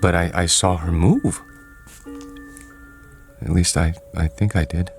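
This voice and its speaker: An actor playing a timid, polite, easily flustered office worker who speaks hesitantly in a radio drama. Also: male voice, sits low, steady tone, slow pace, faint music behind. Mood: flustered, doubting.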